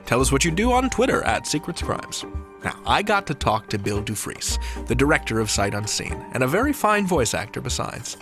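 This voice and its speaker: A man hosting a podcast with a creaky voice, presenting between scripted narration and interview talk. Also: medium pitch, very animated, brisk, a bit bright. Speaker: podcast host. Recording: music bed behind.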